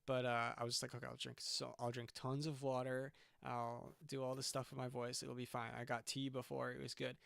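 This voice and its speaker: A man's voice: medium pitch, monotone, brisk, creaky, hardly any pauses, a bit bright, no room sound, clean studio sound. A young man, a band's singer, chatting casually on a livestream and often stopping to restart his sentences. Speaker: singer and band frontman.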